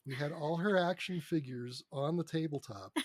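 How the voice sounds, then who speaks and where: A man's voice: a little high, normal speed, dry recording. A middle-aged or older man talking conversationally as a podcast guest, telling anecdotes about his work on a close mic. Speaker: podcast guest, screenwriter and radio drama producer. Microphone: close mic on a remote podcast recording.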